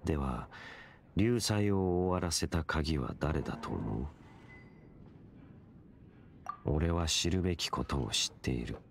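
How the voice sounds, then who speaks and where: Very deep, solemn male wanderer. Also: lively intonation, vocal fry, slight background noise, heavily acted. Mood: cool, measured. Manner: measured, stern, detached. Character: solemn, mysterious young male wandering warrior, cold and formal. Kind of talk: Japanese game voice acting.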